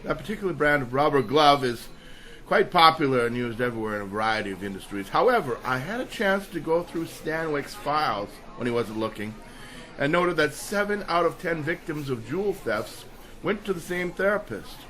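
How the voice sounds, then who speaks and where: An actor in a radio mystery playing a suave, rich amateur sleuth with a curious, dryly playful manner. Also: male voice, fairly high pitch, expressive, brisk, pushed and bright, low-quality recording. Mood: intrigued. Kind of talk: radio mystery drama acting.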